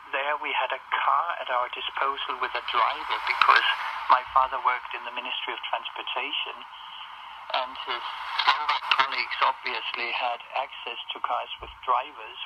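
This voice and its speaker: A middle-aged or older man from Denmark, a native Danish speaker, giving measured, articulate interview answers on shortwave radio. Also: mid-range voice, steady tone, muffled recording. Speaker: historian, interview guest. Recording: noisy shortwave radio reception.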